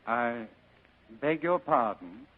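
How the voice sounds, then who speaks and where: An actor playing a portly, courteous crime boss in 1940s radio style, florid and politely menacing, on a tinny vintage broadcast. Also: male voice, medium pitch, animated, slow pace, slightly rough. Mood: politely shrewd.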